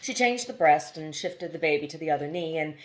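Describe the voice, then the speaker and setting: A woman's voice: low voice, animated, brisk, a little hiss or hum, low-quality recording. A woman narrating a novel as an audiobook reader, in a literary storytelling style.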